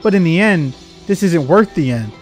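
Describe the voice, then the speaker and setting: A man voicing a fed-up, sharp-tongued young female villain grunt from a video game, exasperated and emphatic. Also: a little high, animated, moderate pace, close to an everyday voice. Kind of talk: voiced reading of game dialogue. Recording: game music behind.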